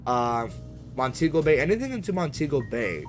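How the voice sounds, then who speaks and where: A man's voice: a little high, very animated, brisk, soft background music. A man chatting casually as he live-streams a flight simulator, in a rambling, slangy, conversational way. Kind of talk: casual live-stream chat while gaming.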